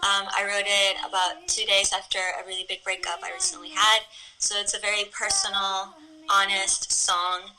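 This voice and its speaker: A young woman from Ecuador, a singer, chatting casually to camera in a relaxed way with lots of filler words. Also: medium pitch, normal speed, low-quality recording. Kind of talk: casual talk to camera about her song.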